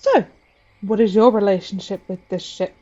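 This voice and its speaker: A woman's voice: medium pitch, moderate pace, mellow, dull audio. A woman playing a fey judge, asking questions in a formal, interrogating manner. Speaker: player voicing a fey judge.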